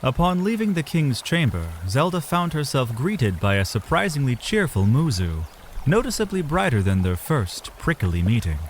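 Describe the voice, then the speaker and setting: A man's voice: medium pitch, a little hiss or hum. A man narrating a scripted fantasy story as a literary, dramatic storyteller.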